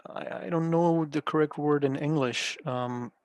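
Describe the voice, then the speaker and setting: A young man from Iran who speaks English as a talks on a video call in a fairly high voice. He is hesitant, fills gaps with "um" and "uh", and stops to look for the right words. The sound is dry and close. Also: fairly even intonation, measured pace, dry recording. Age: 20s. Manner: hesitant, many fillers, searching for words. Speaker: meetup participant. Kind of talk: online group discussion.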